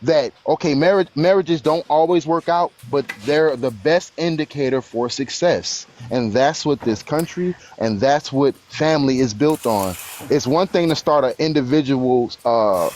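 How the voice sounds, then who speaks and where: A man's voice: on the high side, unhurried, a little mellow, a little hiss or hum, low-quality recording. A man in his late twenties on a live stream panel, explaining his views earnestly with examples and frequent restarts. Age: 20s. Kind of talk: casual panel talk. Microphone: live stream call.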